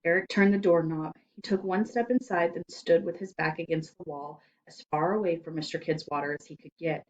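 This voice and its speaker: Expressive woman reading a children's story. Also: sits low, a little fast, few pauses, dull audio. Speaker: story reader.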